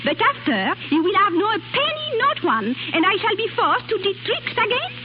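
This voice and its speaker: A woman's voice: extremely high pitch, big pitch swings, normal speed. A woman playing a proud, emotional young French cabaret singer with a French accent, in a 1930s radio drama. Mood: angry, defiant. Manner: indignant, emotional, foreign phrasing. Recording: noisy, dull 1930s radio recording.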